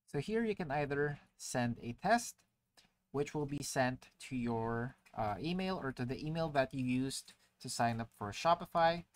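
Male tutorial narrator, mid-pitch, relaxed explainer voice-over, loose and chatty with fillers. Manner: casual explaining with frequent "uh" fillers. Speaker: tutorial presenter. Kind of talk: screen-recorded software tutorial. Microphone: voice-over to screen recording.

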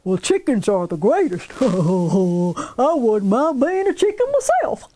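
A woman's voice: fairly high pitch, huge pitch swings, slow pace, dark tone, full character voice. A woman voicing a jolly, easygoing farmer man from a storybook, hearty and chuckling. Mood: amused, welcoming.

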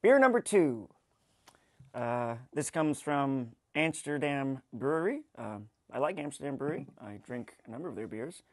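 A man in his thirties hosts a tasting in a casual, unscripted way. His mid-pitched voice is closely recorded with very little room sound. He talks haltingly, with a wry touch. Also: big pitch swings, darkish tone, no echo, studio quality. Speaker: host of a beer tasting show. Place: kitchen studio, indoors.

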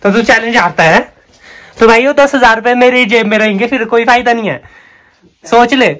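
A young man talks excitedly into his phone camera, keeps calling his friend bhai, and jokes through a cave challenge. His voice sits high for a man. He records outdoors on a green hillside and inside a cramped cave. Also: a little fast, crowd noise. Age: young adult. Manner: excited, chatty, says bhai a lot. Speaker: vlogger. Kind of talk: casual challenge vlog. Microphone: phone camera at arm's length.

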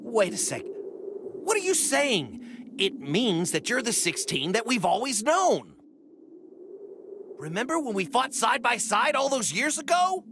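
A man playing an earnest, excitable small martial-arts fighter in a video game, expressive and pleading. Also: very high voice, a little slow, vocal fry, noisy background, full character voice. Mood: amazed, hopeful.

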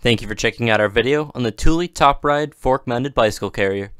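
A man giving scripted, even-toned narration for a product installation video, with steady instructional delivery. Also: mid-range voice, brisk, smooth, no room sound, studio quality.

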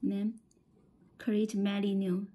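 Slow-speaking Chinese-accented woman demonstrating a product. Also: mid-range voice, completely flat delivery, warm tone. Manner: halting, repeats phrases.

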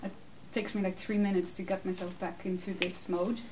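A Dutch woman in her thirties answering audience questions in a casual, animated way, laughing often; English is her second language. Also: medium pitch, brisk. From Netherlands. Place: small room with curtained backdrop, seated. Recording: distant mic, faint room noise.

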